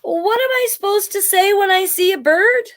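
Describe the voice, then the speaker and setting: A woman's voice: very high-pitched, normal speed, pitched above the performer's own voice. A woman voicing a silly, forgetful, polite dog from a children's storybook, with sing-song questioning. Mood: puzzled.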